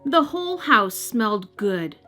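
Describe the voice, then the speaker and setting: A woman's voice: on the high side, sing-song, unhurried, sharp tone. A woman hosting and narrating a children's read-aloud, speaking expressively and directly to young listeners. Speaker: host and storybook reader. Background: music.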